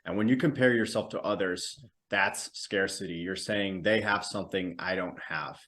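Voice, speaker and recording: Low-voiced Dutch man, reflective guest. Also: normal speed, fairly bright tone. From Netherlands. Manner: reflective, explanatory. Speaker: podcast guest. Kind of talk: conversational interview answers.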